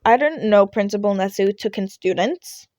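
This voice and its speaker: A woman's voice: mid-range voice, normal speed, darkish tone, no room sound, close to an everyday voice. Reserved teen schoolboy, female reader: puzzled, tentative questions, audio storytelling, dry intimate sound. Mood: bewildered. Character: reserved, puzzled teenage boy student. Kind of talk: fan fiction audio reading.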